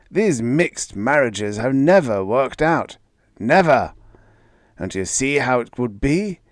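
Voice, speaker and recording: A man reading aloud, voicing an angry, bigoted middle-aged father who shouts and rants. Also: medium pitch, no room sound, clean studio sound, pitched above the performer's own voice. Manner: ranting, shouting.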